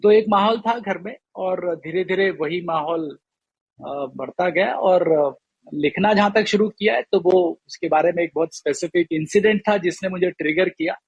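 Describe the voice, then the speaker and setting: A man with a somewhat high, husky voice chats as an interview guest. He is halting and thoughtful, and the sound is dry and close. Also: no echo, muffled recording. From Rajasthan, India. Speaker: guest writer. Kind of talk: casual interview chat.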